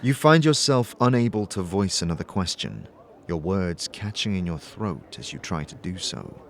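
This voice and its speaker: A man's voice: low voice, expressive, moderate pace. A man narrating a scripted second-person story for an audio drama.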